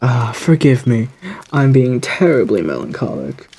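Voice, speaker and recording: Male actor as suave woodland villain, gravelly and mellow, genteel menace, theatrical phrasing, ASMR audio roleplay. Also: mid-range voice, big pitch swings, unhurried, exaggerated voice. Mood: teasing, musing. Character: suave, sinister gentleman predator lurking in dark woods, theatrically menacing.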